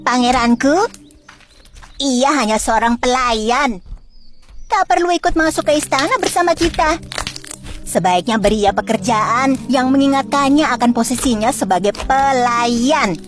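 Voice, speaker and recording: Woman putting on a high, scheming young servant girl. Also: lively intonation, measured pace, soft background music. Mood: sly, haughty. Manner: curt, bossy, haughty. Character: scheming, insolent young female royal servant. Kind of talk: children's fairy-tale dramatization.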